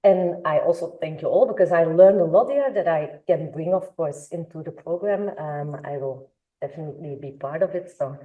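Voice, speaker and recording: A woman's voice: sits low, moderate pace, few pauses, phone-quality sound. A woman from Belgium, speaking on a conference panel for a funding agency, often repeating words and adding "eh?". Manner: searching, repeats words, tag "eh?".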